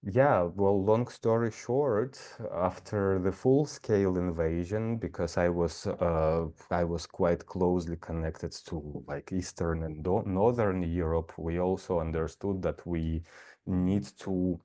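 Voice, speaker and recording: A man's voice: low voice, takes it slowly, mellow. A middle-aged man, a nature guide talking thoughtfully in an interview, with many fillers and restarts. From Siberia. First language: Russian. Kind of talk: casual conversation.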